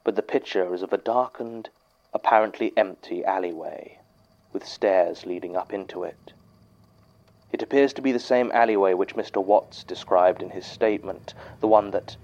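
Stuffy dry archivist in an audio drama, real low voice, muffled tape sound. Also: male voice, darkish tone. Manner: formal, clipped, measured. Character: dry, sceptical male archivist recording case notes.

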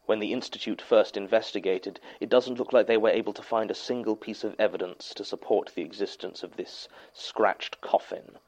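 An English actor playing a formal, sceptical archivist who dictates case notes in a measured, dismissive manner for an audio horror drama. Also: male voice, fairly low pitch, fairly even intonation, a little mellow, natural voice, poor audio. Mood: dry, sceptical. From Bournemouth, England. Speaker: archivist narrator of horror podcast.

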